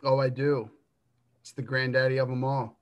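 A man in his twenties co-hosting a sports podcast, giving takes with dry humor and thinking out loud. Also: mid-range voice, flat delivery, normal speed, dull audio. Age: 20s. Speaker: podcast co-host. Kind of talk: casual sports podcast chat.

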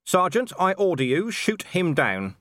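A man's voice: a little high, very animated, moderate pace, a bit bright, dry recording, studio quality, voice pushed up. A man playing a grim, middle-aged watch commander with a clipped, sarcastic, menacing way of talking, in a storybook reading. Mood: hard, commanding.

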